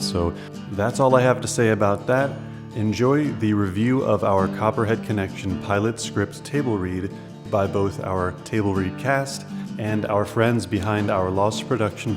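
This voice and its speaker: A man giving a casual, self-deprecating host's intro, offhand and profane, recorded in a garage. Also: fairly low pitch. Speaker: host of a video series.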